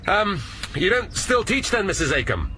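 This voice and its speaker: Casting: dry inspector, lively pitch, clipped, noisy recording. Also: male voice, normal speed. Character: dry, learned police inspector. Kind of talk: radio drama acting.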